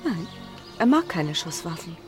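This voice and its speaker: A woman's voice: fairly low pitch, sing-song, measured pace, faint music behind. An actress playing a genteel married woman under suspicion, airy, rueful and evasive, in a radio crime drama. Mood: evasive, uneasy.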